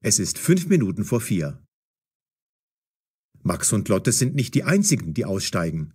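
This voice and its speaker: A man narrating a scripted audio story for language learners in a measured, clearly enunciated way. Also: fairly low pitch, sing-song, warm tone.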